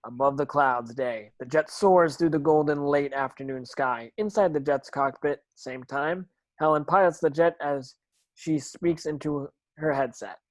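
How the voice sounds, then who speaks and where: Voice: mid-range voice, measured pace, no echo. A narrator reading screenplay stage directions in an online table read, now and then stumbling and repeating words.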